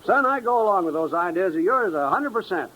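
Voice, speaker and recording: A folksy older male businessman in a vintage radio play. His voice swings animatedly and moves along quickly, plain-spoken and genial. Faint noise sits on the old recording. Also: high voice, big pitch swings, bright, forceful tone, exaggerated voice, poor audio. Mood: genial, approving. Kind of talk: 1950s radio drama acting.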